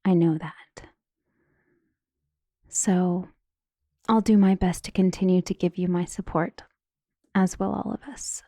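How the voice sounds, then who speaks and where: A woman playing a devoted, deferential maid in an audio drama, speaking in a hushed, earnest, conspiratorial way. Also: on the low side, fairly even intonation, takes it slowly, pauses often, dry recording, clean studio sound. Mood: earnest, supportive. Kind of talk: dramatic audio roleplay acting.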